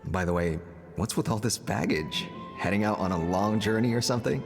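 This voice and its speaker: Confident game chieftain, lowish voice, animated intonation, wry and smug, dramatic. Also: male voice, a little fast, creaky, big-room sound, over music. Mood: easygoing, concerned. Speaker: voice actor in a game cutscene. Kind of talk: dramatic game dialogue acting.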